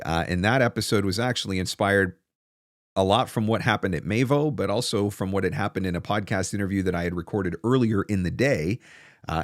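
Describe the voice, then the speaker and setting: A man's voice: deep voice, dry recording. A man in his forties giving casual, conversational advice as a livestream host, explaining things with emphatic repetition into a close studio microphone. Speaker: livestream host, voice-over coach. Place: small home studio room, indoors. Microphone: close desktop broadcast microphone.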